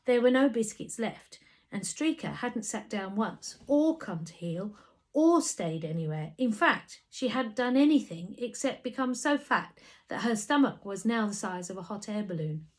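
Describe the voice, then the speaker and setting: A woman reading a children's story aloud in an animated way, with dramatic emphasis. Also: mid-range voice, moderate pace. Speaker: storybook reader. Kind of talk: expressive scripted children's story narration.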